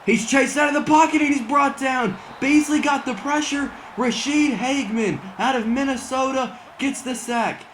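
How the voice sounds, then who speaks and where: A young man doing excited mock broadcast commentary over a football video game, saying he is losing his voice. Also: extremely high pitch, moderate pace, hardly any pauses, slight background noise. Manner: excitable play-by-play, rising to near-shouts on big plays. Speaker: gaming channel host doing play-by-play.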